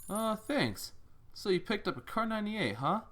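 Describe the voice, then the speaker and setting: A man's voice: sits high, very animated, moderate pace, fairly bright tone, full character voice. A man playing a confident, seasoned young survivor in an amateur audio drama. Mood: confident, instructive.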